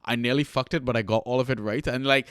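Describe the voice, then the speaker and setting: Young man from trinidad, podcast co-host, loose chatty delivery, smooth voice, dry close mic. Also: mid-range voice, fairly even intonation, fast talker, fairly bright tone, no echo. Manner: laid-back, swears freely, lots of fillers. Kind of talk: casual chat, storytelling.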